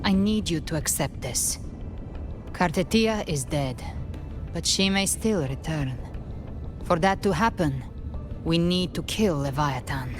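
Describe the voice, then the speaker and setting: An actress playing a cool, guarded avenger in a video game, speaking in a grave, measured, secretive manner. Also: female voice, sits low, lively intonation, over music. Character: cool, guarded female avenger and monster hunter. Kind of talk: scripted video game voice acting.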